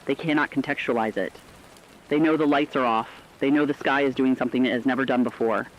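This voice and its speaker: A man playing a reflective, compassionate radio correspondent, delivering a scripted essay in an earnest, deliberate way. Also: medium pitch, fairly even intonation, dull audio, natural voice. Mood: reflective, tender. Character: reflective, compassionate male radio correspondent during a global crisis. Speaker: radio commentator.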